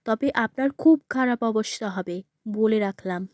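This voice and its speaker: A woman's voice: a little high, darkish tone, dry recording, clean studio sound, close to an everyday voice. A woman voicing a cruel elder daughter-in-law who orders and threatens. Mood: bossy. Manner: commanding, threatening, brisk.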